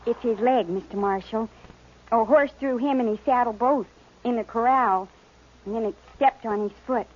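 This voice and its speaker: A woman's voice: a little high, moderate pace, close to an everyday voice. A woman playing a wary, plain-spoken young homestead girl in a 1950s radio western, with a simple, childlike manner. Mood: worried, pleading. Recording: muffled vintage radio recording.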